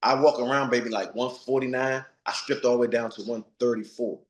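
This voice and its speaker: A man's voice: mid-range voice, fairly even intonation, a little fast, echoey room. 40 yr old guy, ex boxer, blunt and streetwise, repeats stuff, voice bouncing around the room. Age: 40s. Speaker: guest, retired boxer. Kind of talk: candid interview answers.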